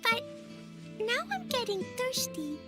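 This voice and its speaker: A woman voicing an excitable, innocent little girl in an anime-style game, with a squeaky childlike voice. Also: slow, over loud music, exaggerated voice. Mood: excited.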